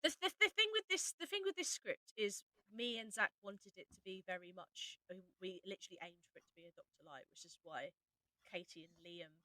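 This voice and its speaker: A woman's voice: medium pitch, animated, brisk, bright, forceful tone, no room sound, rough recording. A woman hosting a casual cast chat as the writer and director.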